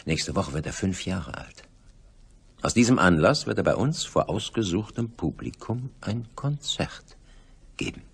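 Smug, deep-voiced, gravelly executive villain. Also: male voice, expressive, full character voice. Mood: self-satisfied. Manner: condescending, polished, theatrically drawn-out phrasing. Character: smug, suave pharma company managing director, older villain. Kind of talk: German radio crime drama acting.

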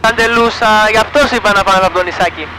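A male pilot with a Greek accent chats casually at a very rapid pace. His high voice comes through a cockpit headset over clear engine drone. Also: pushed and bright, slight background noise, rough recording. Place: small aircraft cockpit in flight. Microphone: aviation headset.

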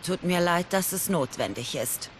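An actress playing a stern, loyal royal guard warrior burdened by past failure, in dramatic video game dialogue. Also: female voice, lowish voice, expressive, normal speed, music in the background, lightly acted. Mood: earnest, firm. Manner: solemn, resolute.

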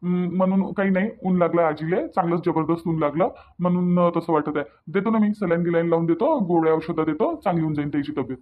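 A man's voice: fairly high pitch, fast talker, hardly any pauses, phone-quality sound, lightly acted. A man voicing a reassuring village doctor in a cartoon, folksy and repetitive, with a Varhadi Marathi accent. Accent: Varhadi, Vidarbha Marathi. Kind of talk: cartoon dialogue.

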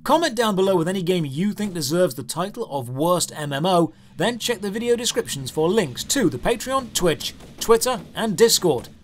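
Young male game reviewer, high-set voice, scripted voice-over with dry exasperation and sarcasm. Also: big pitch swings, normal speed, hardly any pauses, a little hiss or hum.

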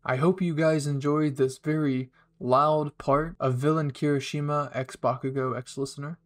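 A man's voice: a little high, fairly even intonation, normal speed. A man hosting a roleplay channel, giving a casual outro to viewers.

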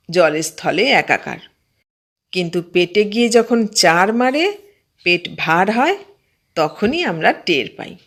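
A woman reads a comic story aloud at an unhurried, deliberate pace. Her mid-range voice stays expressive, leaning into the humour with clear storytelling rhythm. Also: medium pitch. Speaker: audiobook narrator. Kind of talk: humorous story reading.

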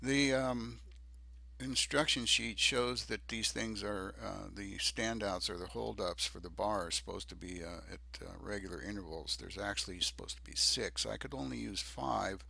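An older man narrating a DIY project video off the cuff, halting and hesitant, with many ums. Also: fairly low pitch, vocal fry, pushed and bright. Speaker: DIY presenter.

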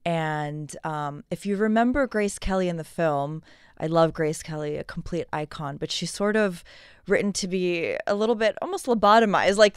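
Low, clear-voiced American woman. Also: no echo, studio quality. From San Diego, California. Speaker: stage actor interviewed about a play. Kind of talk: conversational interview.

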